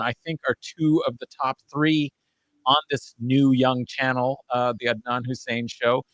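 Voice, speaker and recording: A man's voice: mid-range voice, fairly bright tone, no room sound, rough recording. A man hosting a live-streamed talk show, enthusiastic and a little rambling, with frequent fillers. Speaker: host.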